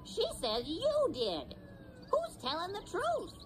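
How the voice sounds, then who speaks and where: Storybook boy read by a woman: blunt, accusing, high, hugely animated, faint music. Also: takes it slowly, noisy background, heavily acted. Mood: indignant. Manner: accusing, emphatic.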